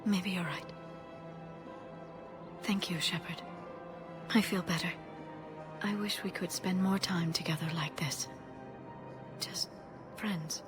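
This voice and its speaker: An actress playing a soft-spoken female alien scholar in a video game, gentle, wistful and slightly shy. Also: lowish voice, fairly even intonation, slow pace, long pauses, over music. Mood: tender.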